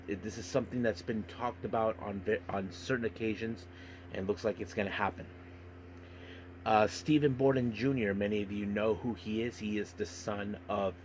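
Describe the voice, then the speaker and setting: A man hosting a casual solo wrestling podcast, giving a news and review rundown in a halting way and stumbling over words. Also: fairly low pitch, a little hiss or hum.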